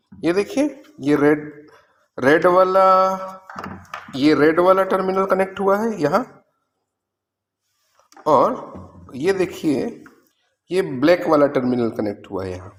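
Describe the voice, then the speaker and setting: A man giving a step-by-step lab demonstration as a physics teacher, instructing and pointing things out as he goes. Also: on the high side. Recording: echoey room, noisy with hum.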